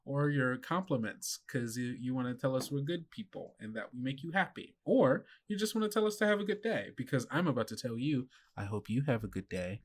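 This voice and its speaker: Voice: mid-range voice, lively intonation, fairly quick, few pauses. A game master hosting casually and narrating an improvised story, with a playful, teasing manner. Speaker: game master and podcast host.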